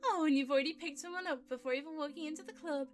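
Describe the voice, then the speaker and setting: A woman's voice: high-pitched, racing, faint music behind, close to an everyday voice. A woman voicing a flirty, gossipy nightclub regular from a visual novel, teasing and breezy. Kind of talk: visual novel read aloud.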